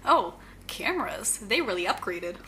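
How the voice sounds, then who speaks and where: Young villain actress, audio drama: playful, flippant, animated bright voice, casual naturalistic banter, faint noise. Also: female voice, medium pitch, a little slow, full character voice, poor audio. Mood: breezy, flippant. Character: breezy, teasing young bank-robbing shapeshifter villain.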